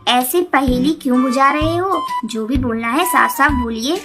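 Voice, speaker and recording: A high-pitched mother bird, voiced by a woman, brisk and motherly with a nagging edge. She speaks as in a cartoon story, over background music. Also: lightly acted. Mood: irritated. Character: worried, scolding mother bird, adult female. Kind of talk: children's cartoon story dialogue.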